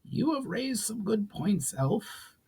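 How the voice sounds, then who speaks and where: Man putting on a high-pitched proud goblin leader. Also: big pitch swings, slow, vocal fry, darkish tone, husky, full character voice. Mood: grudging. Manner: formal, challenging speech. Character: goblin leader, male, suspicious, proud, questioning negotiator.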